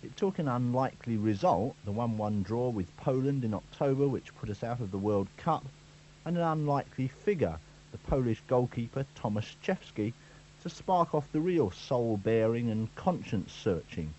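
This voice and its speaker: A man's voice: mid-range voice, lively intonation, normal speed, warm tone, a little hiss or hum. A male sports reporter reading a scripted year-in-review with a dry, wry delivery. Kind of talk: scripted narration. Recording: dull lo-fi radio recording.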